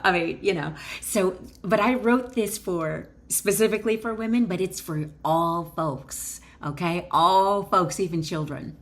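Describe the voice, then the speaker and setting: A woman author and wellness educator reading her book aloud with informal asides, deliberate and emphatic, pausing between short phrases. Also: fairly low pitch, fairly bright tone.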